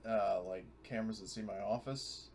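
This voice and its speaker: A man's voice: fairly low pitch, moderate pace, a little mellow. A man chatting casually as a player in a tabletop roleplaying game.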